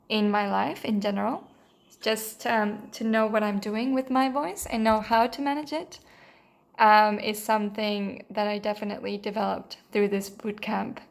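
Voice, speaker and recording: Hesitant Mexican woman, flat even voice. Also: medium pitch. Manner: hesitant, many fillers and restarts, earnest. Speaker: course participant giving a testimonial. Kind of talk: unscripted spoken testimonial.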